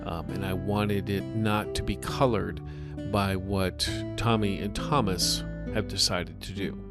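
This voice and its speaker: A man's voice: low voice, steady tone, unhurried, over loud music. A man speaking as a story author and podcast narrator, giving an informal note and outro.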